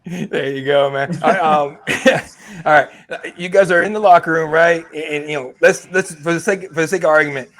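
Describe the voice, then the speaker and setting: Chill guy from mexico running a casual interview, talks fast, lots of dude and bro. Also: a little high, fairly bright tone. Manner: laid-back, laughs often, says "bro" and "dude".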